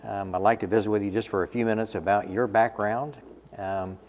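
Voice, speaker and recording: Low-voiced male host in an echoey studio. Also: fairly even intonation, fairly quick, a little mellow. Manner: friendly, restarts and fillers. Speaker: host, chapter executive director. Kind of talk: hosting a local TV interview. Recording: echoey studio with faint hiss.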